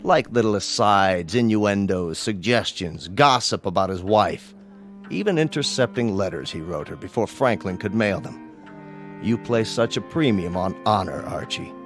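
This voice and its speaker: A man playing a stern, candid gentleman in a radio drama, speaking in a measured, articulate and reproachful way. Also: on the low side, animated, natural voice. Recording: faint music behind the voice.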